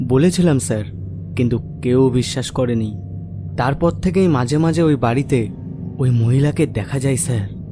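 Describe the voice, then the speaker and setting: A man playing a deferential villager in an animated horror story, confiding a dark secret in an earnest, respectful way. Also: mid-range voice, moderate pace, close to an everyday voice. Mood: uneasy, suspicious. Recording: loud music over the voice.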